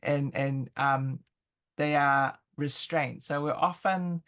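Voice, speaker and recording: A woman with a New Zealand accent talks in a conversational interview. She is reflective and picks through her thoughts in halting phrases, explaining as she goes. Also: low voice, a bit bright. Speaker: interview guest, intercultural expert.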